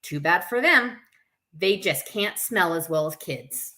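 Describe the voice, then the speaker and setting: A woman's voice: on the low side, lively intonation, unhurried, pushed and bright. A woman hosting a story time, reading a picture book aloud expressively with informal, chatty asides to her listeners. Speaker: camp staff story time host.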